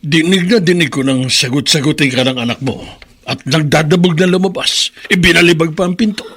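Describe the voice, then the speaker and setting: A stern, husky old grandfather. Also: a little high, brisk, fairly bright tone. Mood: stern, concerned. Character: elderly father, stern and protective. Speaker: grandfather in a radio drama.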